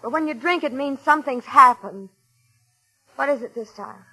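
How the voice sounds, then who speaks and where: An actress playing an earnest, soothing American wife, devoted and anxious, in a mid-century radio melodrama. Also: female voice, high-pitched, lively intonation, moderate pace, bright, forceful tone, full character voice, rough recording. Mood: anxious, hopeful. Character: devoted, anxious wife who believes in her failing husband.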